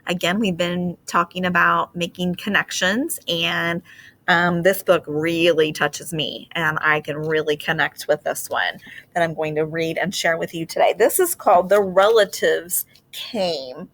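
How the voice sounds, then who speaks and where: A woman reading a children's picture book aloud like a teacher, with expressive storytelling and deliberate, drawn-out phrasing. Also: on the low side.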